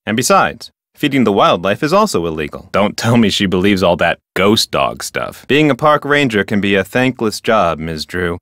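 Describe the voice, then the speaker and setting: An actor playing an officious, dutiful and touchy park ranger in a video game, speaking in a formal, self-important manner. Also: male voice, fairly low pitch, fairly bright tone, no echo, clean studio sound. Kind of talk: video game character dialogue.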